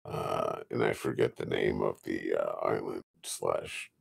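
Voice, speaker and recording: A man's voice: very deep voice, fairly even intonation, measured pace, vocal fry, slightly rough. A man playing in an online tabletop role-playing game, talking casually and stopping often to restart his sentences. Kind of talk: casual tabletop chat, partly in character.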